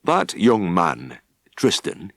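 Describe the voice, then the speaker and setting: A man's voice: low-pitched, wildly swooping pitch, no room sound, clean studio sound, exaggerated voice. A man voicing a big, stern, blunt farmer with a Yorkshire accent in an audiobook story. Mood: stern, probing. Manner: blunt, measured.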